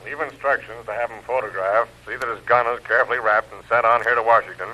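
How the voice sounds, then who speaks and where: An actor playing a stern, authoritative intelligence chief giving formal instructions in an old-time radio adventure. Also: male voice, medium pitch, steady tone, fast, pushed and bright, dull audio. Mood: stern, concerned.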